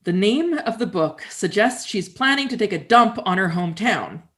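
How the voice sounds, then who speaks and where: A middle-aged woman from Canada, performing a theatrical storytelling monologue in a wry, conversational, expressive way. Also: medium pitch, normal speed.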